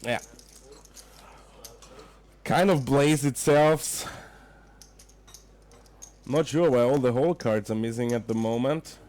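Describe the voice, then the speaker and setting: Slow-talking Austrian poker commentator. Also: male voice, mid-range voice, darkish tone. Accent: Austrian German. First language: German. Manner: chatty, greets viewers, searches for English words. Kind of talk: live poker commentary with chat.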